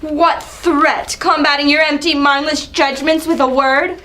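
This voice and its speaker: A woman's voice: high-pitched, steady tone, measured pace, bright, forceful tone, faint music behind, pitched above the performer's own voice. A woman playing a principled young prince who defies his father in a dramatic reading of Greek tragedy, with a cutting delivery. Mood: outraged. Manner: defiant, cutting.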